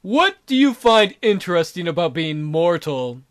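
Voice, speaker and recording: A man's voice: fairly high pitch, very animated, moderate pace. A man voicing a self-absorbed, fatalist god who complains in a dramatic way. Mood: haughty.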